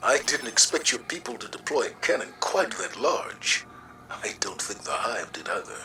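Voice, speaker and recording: An actor playing a sober, dutiful military commander in a video game, speaking in a measured, diplomatic and grave manner. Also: male voice, on the low side, very animated, bright, forceful tone, a little hiss or hum, heavily acted, rough recording. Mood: dry admiration. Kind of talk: scripted video game dialogue. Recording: game music underneath.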